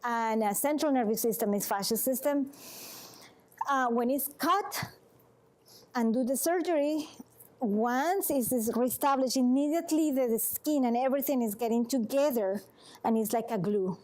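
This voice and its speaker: A woman from Venezuela speaks with a Venezuelan Spanish accent, her voice sitting a little high. She gives an informal health talk to a group as a massage therapist. Her phrasing is earnest and halting, often pausing to check in with the room. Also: a little mellow.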